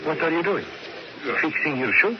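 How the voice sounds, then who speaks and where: A man's voice: a little high, expressive, moderate pace, lightly acted. Guy playing a cautious worried sidekick politician in an old radio play, hesitant, really hissy dull audio. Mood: alarmed. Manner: conversational, hesitant, questioning. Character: anxious, cautious Italian politician, loyal confidant to a plotter. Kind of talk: American radio drama acting.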